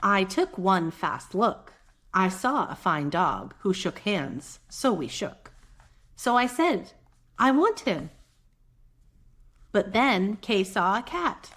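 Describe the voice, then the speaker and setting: A woman with a soft voice reads a picture book aloud to young children, gentle and expressive, with chatty asides to her listeners. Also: medium pitch, slow. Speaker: children's read-aloud host; mother.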